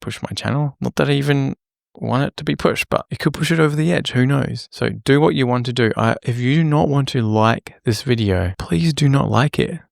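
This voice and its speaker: A man with a slightly croaky voice, talking casually to camera in a rambling, self-deprecating, apologetic way. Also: mid-range voice, a little fast, no echo, clean studio sound. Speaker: music producer and vlogger. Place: small home room lit by a lamp. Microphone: camera-facing setup at a desk.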